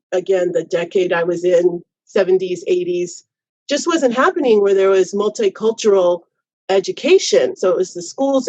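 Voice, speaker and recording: A reflective woman in her fifties, a professor, who works through her thoughts aloud with restarts and many "you know"s. Her voice sits at a middle pitch and sounds close and dry, with a slightly dull, lo-fi tone. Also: darkish tone, no echo. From United States. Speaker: college professor, podcast guest. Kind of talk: reflective personal storytelling.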